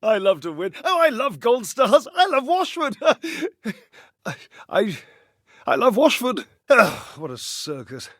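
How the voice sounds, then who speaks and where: An actor playing a flamboyant, lovelorn household-object character in a video game, theatrical, flighty and verbose, with dramatic pauses. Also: male voice, very high voice, sing-song, fairly bright tone, dry recording, studio quality, heavily acted. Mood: giddy, adoring, then exasperated, lovelorn.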